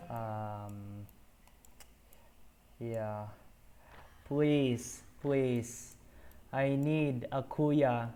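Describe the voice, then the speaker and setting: A streamer giving chatty, giggly gameplay commentary. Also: mid-range voice. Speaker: game streamer. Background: game music.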